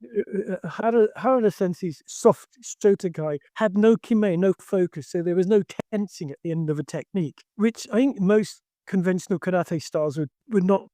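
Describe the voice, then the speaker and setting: A man's voice: sits high, normal speed, few pauses, warm tone, dry recording. An older man who teaches karate and talks emphatically in a discussion, restarting his sentences often.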